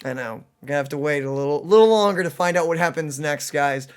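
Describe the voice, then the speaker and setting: A woman hosting a gaming let's play, commenting casually and earnestly with expressive reactions. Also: low-pitched, fast, smooth. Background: game music.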